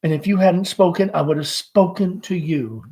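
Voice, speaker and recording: A woman's voice: fairly low pitch, moderate pace, warm tone, voice pushed up, natural voice. A reader voicing an anxious, dramatic middle-aged mother in a fluttery, stammering, pleading manner, from a stage play. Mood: fussing, pleading.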